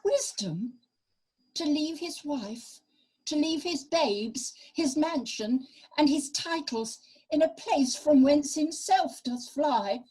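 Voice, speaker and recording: A woman's voice: high-pitched, animated, takes it slowly, dark tone, rough recording. A woman playing a bitter, abandoned noblewoman and mother in an amateur Shakespearean radio play. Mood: bitter, distressed.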